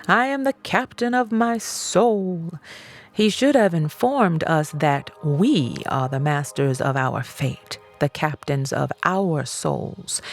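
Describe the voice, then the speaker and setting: A woman reading a self-help audiobook in a measured, emphatic storytelling manner. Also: medium pitch. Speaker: audiobook reader. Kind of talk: scripted narration of a self-help book.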